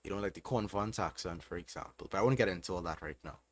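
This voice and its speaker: Brief: young Trinidadian man, very low voice, relaxed livestream host answering chat, loose and unscripted. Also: fast talker. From Trinidad and Tobago. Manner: relaxed, thinking aloud with fillers.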